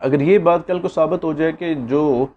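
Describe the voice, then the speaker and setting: A man's voice: on the high side, unhurried, muffled recording. A man with a Pakistani accent giving an informal law lecture, instructively reminding students of points to remember.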